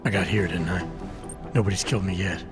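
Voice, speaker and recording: A man voices a guarded cyborg agent in a video game, his low, clipped delivery rough at the edges. He speaks over a loud cutscene score. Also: fairly even intonation, fast talker, over music, natural voice.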